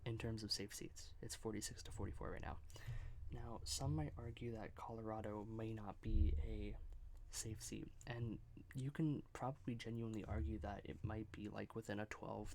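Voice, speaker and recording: A soft-spoken man giving political commentary in an ASMR style, halting with fillers and slipping into whispers. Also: lowish voice, steady tone, creaky, a little hiss or hum. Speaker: ASMR creator.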